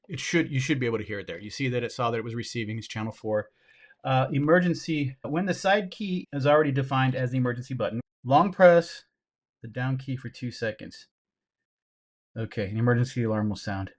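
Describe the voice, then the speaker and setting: A man with a mid-pitched voice presents a hands-on product review. He talks informally and directly to viewers while demonstrating the gear, in the relaxed phrasing of a casual vlog.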